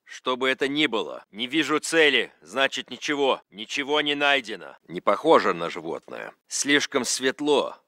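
An actor playing a tense, curt Russian soldier in a military video game, with a Russian accent. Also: male voice, mid-range voice, expressive, normal speed, hardly any pauses, bright, forceful tone, no room sound, studio quality. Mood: terse.

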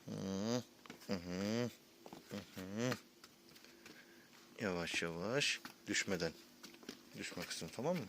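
A man's voice: fairly low pitch, takes it slowly, creaky, pauses often. A man talking casually to a young child as a parent, coaxing in short, repeated prompts. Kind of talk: casual child-directed chat. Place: outdoors near animals. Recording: noisy amateur outdoor video.

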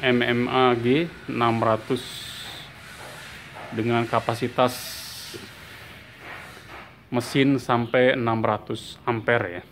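Indonesian man, monotone, middle pitch, casual demonstrator, explanatory, repetitive, clear background noise on a phone recording. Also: slow, long pauses. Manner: explanatory, repeats key points. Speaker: product demonstrator. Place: indoor workshop. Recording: clear workshop hum and ambient noise.

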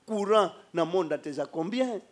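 A middle-aged man with a Congolese accent preaching an animated sermon into a stand microphone in a church hall, switching between Lingala and French. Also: high-pitched, normal speed. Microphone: handheld mic on a stand.

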